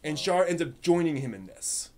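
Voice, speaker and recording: A man with a voice on the higher side hosts a casual review stream. He explains things conversationally, doubling back on words and tossing in emphatic asides. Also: animated, moderate pace, a little mellow. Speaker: host reviewing anime on a live stream.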